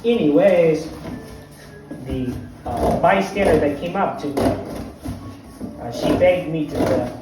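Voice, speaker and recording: A theatrical old vampire count, played by a man, hosts a craft tutorial and drifts off into stories. His voice sits a little high. He is recorded from a distance in a very echoey room with music playing underneath. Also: expressive, slow, long pauses. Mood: rambling. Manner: digressive, wanders into anecdotes. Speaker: craft tutorial host in character. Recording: very echoey room, mic from afar.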